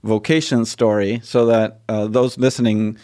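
A man's voice: lowish voice, little pitch movement, moderate pace, mellow. A man from Argentina, a priest hosting a podcast interview, telling stories in a chatty way with many fillers and restarts. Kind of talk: casual interview.

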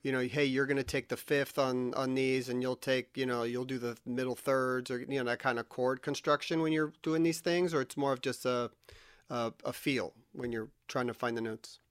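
A male interviewer with a smooth, resonant voice poses long, winding questions to his guests. The sound is close and dry, with no room around it. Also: mid-range voice, fairly even intonation, normal speed, no echo.

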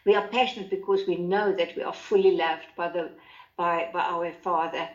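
Woman, lower voice, earnest, halting with ums, roomy echo, muffled sound. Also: fairly even intonation. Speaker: guest co-host. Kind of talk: casual faith discussion.

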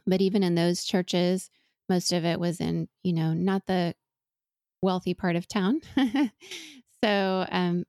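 A woman's voice: fairly low pitch, vocal fry, darkish tone, dry recording, studio quality. A woman in her forties who grew up in Venezuela, talking earnestly and thoughtfully as an interview guest, often restarting her sentences. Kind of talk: reflective interview conversation.

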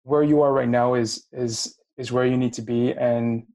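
A man's voice: mid-range voice, fairly even intonation, moderate pace, mellow. A man in his late twenties with a slight Filipino accent, hosting a podcast and talking casually, often searching for his words. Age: late 20s. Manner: searching for words, many fillers and restarts. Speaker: podcast host. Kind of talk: casual interview chat.

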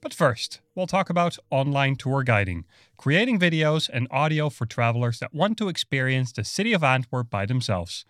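A man's voice: medium pitch, sing-song, moderate pace, fairly bright tone, dry recording, clean studio sound. A man hosting a podcast, introducing his guest and asking questions with stumbling restarts. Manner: stammering restarts when asking. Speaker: podcast host. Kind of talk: interviewing a guest.

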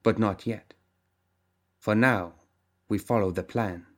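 Audiobook syndicate mistress, read by a man: low, measured, formal, stern with compassion underneath, used to command. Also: steady tone. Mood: resolute. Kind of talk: audiobook character acting.

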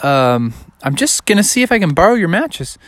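A big friendly cowboy bear, as a male storyteller plays him, carefree and oblivious. His pitch sits up high and swoops around freely. He talks in an easygoing, drawn-out way, like a children's story character. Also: big pitch swings, dry recording. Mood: reassuring, casual.

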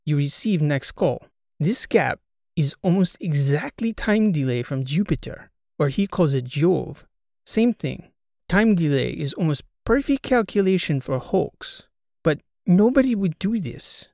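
A man's voice: fairly high pitch, a little mellow, no echo, studio quality, pitched above the performer's own voice. A man doing an earnest Croatian student with clipped English. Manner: clipped broken English, dropped articles. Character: male Croatian PhD student, earnest, blunt.

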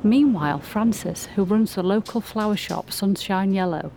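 A woman's voice: mid-range voice, a little mellow, slight background noise. A woman reading scripted storybook-style narration as the narrator of a community radio play. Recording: music playing behind the voice. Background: music.

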